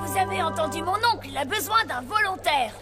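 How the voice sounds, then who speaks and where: A woman's voice: very high-pitched, animated, a little fast. A woman voicing an earnest, insistent zombie schoolboy in a French cartoon. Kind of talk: French cartoon dub acting. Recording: loud music and crowd behind.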